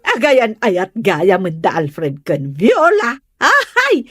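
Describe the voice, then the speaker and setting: A woman playing a gossipy, excitable neighbourhood busybody in a comedy audio drama, with drawn-out exclamations. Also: mid-range voice, slightly rough, no echo, faint music behind, exaggerated voice. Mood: gleeful, teasing. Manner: drawn-out, exclaiming, cackling delivery.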